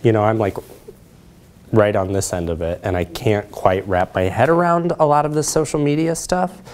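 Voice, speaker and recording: Low-voiced man presenting. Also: normal speed, a little mellow, a little hiss or hum. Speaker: presenter, researcher. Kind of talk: informal lecture question-and-answer. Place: lecture room, indoors.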